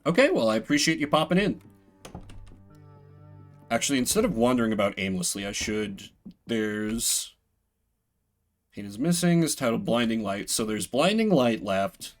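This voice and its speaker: A man with a mid-pitched, very animated voice streams a game with faint music behind him. He chats casually and rambles, dropping playful, self-deprecating asides as he goes. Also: normal speed, pauses often. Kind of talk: casual live gameplay commentary and chat.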